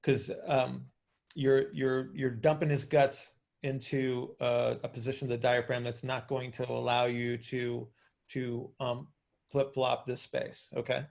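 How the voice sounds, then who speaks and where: A man with a creaky voice, casually explaining as a coach hosting a group call, often checking that listeners follow. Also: medium pitch, level tone, measured pace, darkish tone. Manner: informal, hands-on, checks understanding with short tag questions. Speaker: host and coach.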